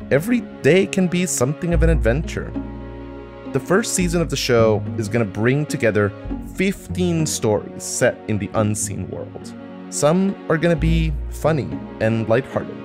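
Guy hosting a podcast doing an excited scripted announcement, talking right to listeners, guitar playing behind him. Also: medium pitch. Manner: enthusiastic, addressing listeners directly. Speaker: podcast creator and host. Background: guitar music.

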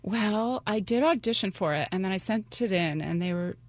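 A woman's voice: medium pitch, animated, normal speed, no echo. A middle-aged woman talking about her novel as a guest in a casual interview, chatty and full of fillers. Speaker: guest author.

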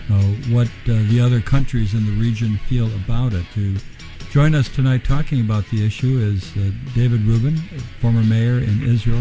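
A male radio host interviewing a guest, talking hesitantly with many fillers. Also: lowish voice.

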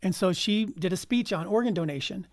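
A man telling anecdotes casually as an interview guest, halting as he feels his way through sentences. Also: sits high, no echo, clean studio sound. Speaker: guest, audio drama writer.

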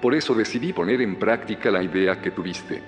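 Male actor as assured founder, Spain accent, calm and persuasive, audio drama, strongly reverberant, music underneath. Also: lowish voice, a little fast, close to an everyday voice. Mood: measured, persuasive.

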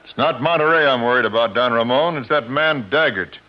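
An actor playing a confident American gentleman adventurer with an American accent and matter-of-fact manner in a 1950s radio drama. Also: mid-range voice, a little fast, natural voice. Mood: concerned, steady. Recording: noisy muffled old radio recording.

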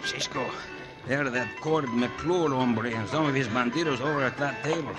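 A man's voice: medium pitch, normal speed, over loud music, close to an everyday voice. A man playing a timid, comic Mexican cowboy sidekick in an old-time radio western, speaking broken English with a Mexican accent. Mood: worried.

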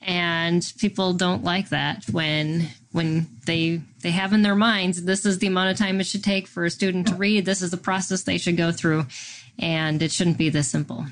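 A woman's voice: lowish voice, steady tone, normal speed, low-quality recording. A woman hosting a podcast, asking questions and murmuring along while her guest talks. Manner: frequent short backchannel murmurs. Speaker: podcast host. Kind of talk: podcast interview.

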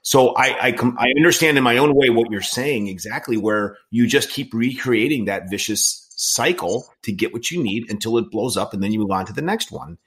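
A man's voice: medium pitch, normal speed, few pauses, a bit bright. A man in his late forties hosting a casual interview, talking in an earnest, rambling way with frequent restarts. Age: 40s. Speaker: podcast host in recovery.

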